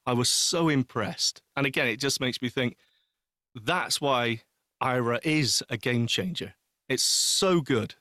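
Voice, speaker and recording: A man in casual podcast conversation, recorded dry and close with no room sound. He speaks at an ordinary male pitch. His delivery is animated and emphatic, and he often repeats a phrase to drive it home. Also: a little slow, no echo. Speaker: podcast co-host. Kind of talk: casual chat, telling an anecdote.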